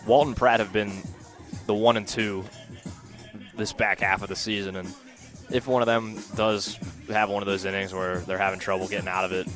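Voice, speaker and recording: Low-voiced male softball color commentator. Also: fairly even intonation, normal speed, soft background music. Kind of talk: live softball analysis, conversational.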